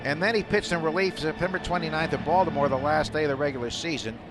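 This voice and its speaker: Higher-voiced man calling live baseball. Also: quick pace, over music. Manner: clipped, descriptive calls with short phrases. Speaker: play-by-play baseball announcer. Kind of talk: live radio play-by-play commentary. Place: outdoor ballpark broadcast booth. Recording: loud stadium noise behind the voice.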